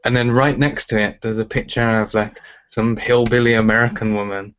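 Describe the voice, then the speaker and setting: A man's voice: mid-range voice, level tone, a little fast, a bit bright, clear, resonant tone, dull audio. A man talking as an interview guest, rambling and filling with "like" and "uh". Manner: rambling, fills with "like" and "uh". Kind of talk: casual interview chat.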